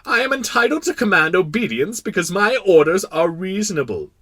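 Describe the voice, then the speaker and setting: A man voicing a proud, kindly but pompous king in a storybook, making imperious declarations with drawn-out words. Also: sits high, very animated, a bit bright, slightly rough, no room sound, clean studio sound, pitched above the performer's own voice, full character voice. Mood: lofty, reasoning. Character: proud, kindly, pompous absolute monarch of a tiny planet.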